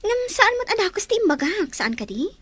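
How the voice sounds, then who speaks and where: A woman acting a forbearing, soft-hearted young wife in a drama. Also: extremely high pitch, sing-song, fairly quick, no room sound, exaggerated voice. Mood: earnest, animated. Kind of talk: Ilocano-language drama dialogue.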